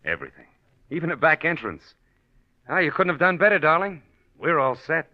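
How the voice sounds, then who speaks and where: A man's voice: fairly high pitch, very animated, brisk. A man acting as a glib, scheming and impatient husband in a 1940s radio drama, brusque under a casual front. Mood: falsely pleased. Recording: dull lo-fi old radio recording.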